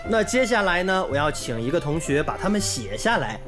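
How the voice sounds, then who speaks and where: A woman playing a patient, gentle and encouraging village schoolteacher in a radio drama. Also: lowish voice, sing-song, a little fast. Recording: music clearly behind the voice.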